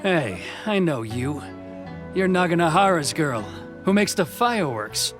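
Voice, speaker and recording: An actor playing a stern, proud samurai officer in a video game, formal, curt and scornful. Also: male voice, a little high, very animated, measured pace, over music. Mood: dismissive.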